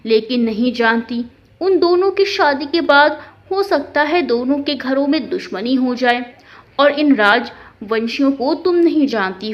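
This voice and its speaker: A woman voicing a stern, worried father who questions and admonishes in a storybook family drama. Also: high-pitched. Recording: dull, lo-fi sound.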